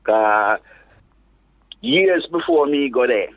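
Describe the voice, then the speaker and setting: A man from England with a Jamaican patois accent mixed with London speech, a reggae DJ chatting as an interview guest in a relaxed, halting way. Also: mid-range voice, vocal fry. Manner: relaxed, halting, restarts phrases. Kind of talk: casual interview chat. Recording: phone-like narrow sound.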